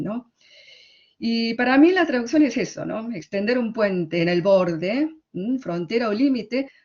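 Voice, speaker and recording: A woman's voice: medium pitch, a little mellow, lo-fi sound. A woman from Argentina with an Argentine Spanish accent, giving a reflective conference talk and often checking in with the audience through tag questions. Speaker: conference speaker.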